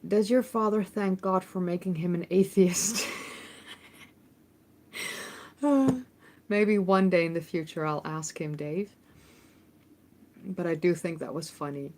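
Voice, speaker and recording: A Dutch-accented woman in her thirties with a slightly low voice, answering viewer questions on a relaxed livestream. She talks in a chatty, thinking-aloud way, full of ums and restarts. She is recorded on a phone microphone in an ordinary room at home. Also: takes it slowly, pauses often, slight background noise. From Netherlands. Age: 30s. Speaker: history YouTuber and host. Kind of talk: casual livestream Q&A, reading chat questions. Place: temporary room in a family home.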